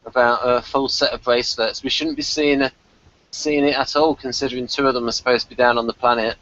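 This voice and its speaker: A man's voice: mid-range voice, fairly even intonation, fast talker, a bit bright, lo-fi sound. A man chatting casually as he co-hosts a review, with a wry, nitpicking, hesitant manner. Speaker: podcast co-host reviewing a TV episode.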